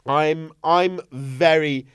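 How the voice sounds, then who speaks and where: A man's voice: on the high side, drawn-out words, clear, resonant tone, dry recording, clean studio sound. A young man hosting a podcast, chatting casually and joking around with silly impressions. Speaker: podcast host. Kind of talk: casual chat.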